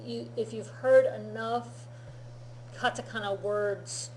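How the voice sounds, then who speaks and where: A woman teaching a Japanese lesson, explaining patiently in an unhurried, easygoing way. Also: on the high side, steady tone, a little mellow, slight background noise. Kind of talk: relaxed classroom-style lesson. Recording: echoey room.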